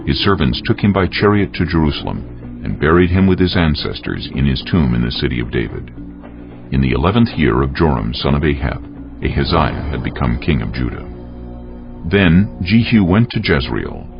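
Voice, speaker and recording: A man giving solemn, measured, scripted dramatic narration of scripture as a storyteller. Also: extremely low pitch, very animated, vocal fry. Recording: dull audio with music underneath.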